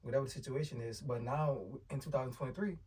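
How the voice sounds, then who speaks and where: Fast-talking blunt podcast host, distant. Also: male voice, mid-range voice, darkish tone, husky. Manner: quick, blunt, swears freely. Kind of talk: casual podcast chat.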